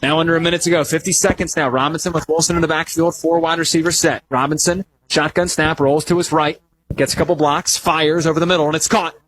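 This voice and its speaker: Male football play-by-play announcer, higher-pitched, conversational radio delivery over audible background noise. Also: fairly even intonation, fast talker, fairly bright tone, poor audio. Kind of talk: live radio sports commentary.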